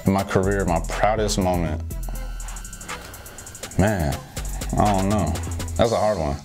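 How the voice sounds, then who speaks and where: Deep-voiced young guy from houston chatting loose, lots of "like", music playing under it. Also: measured pace. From Houston, Texas. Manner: relaxed, heavy use of "like" and slang. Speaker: guest, dancer. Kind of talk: casual interview chat.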